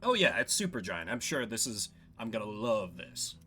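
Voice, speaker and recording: A man's voice: on the low side, very animated, moderate pace, pushed and bright, faint music behind. A man giving casual livestream game commentary, musing and thinking aloud as he plays. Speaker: streamer playing and voicing a game.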